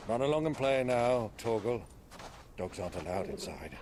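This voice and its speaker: An actor playing a jovial, theatrical middle-aged noble uncle in a video game, grandiose and wry. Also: male voice, lowish voice, big pitch swings, moderate pace, darkish tone, a little hiss or hum. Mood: wry, playful.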